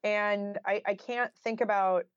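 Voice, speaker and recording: A woman's voice: mid-range voice, steady tone, measured pace, clean tone, no echo. A woman with a mid-range voice talks as a guest writer in an interview. She explains things in an informal, conversational way, dropping in "like" and "you know". The sound is dry and a little lo-fi. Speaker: guest author and journalist.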